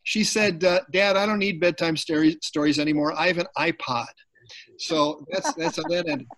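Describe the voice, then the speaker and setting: Chatty, animated man on a video call. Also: on the high side, normal speed. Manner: animated, chatty, laughs while telling stories. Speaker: poet, featured guest. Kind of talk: conversational storytelling on a video call.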